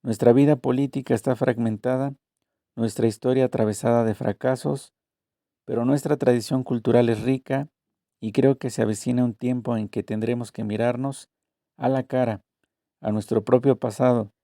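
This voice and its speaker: Deep, mellow man reading measuredly. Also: steady tone, normal speed, dry recording, studio quality. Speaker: reader voicing both interviewer and author. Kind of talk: narrated reading of a translated interview.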